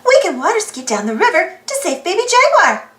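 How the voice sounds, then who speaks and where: Very high, animated child-like storybook reader. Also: fairly quick, roomy sound. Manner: exclaiming, prompts young listeners with questions. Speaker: storybook reader and channel host.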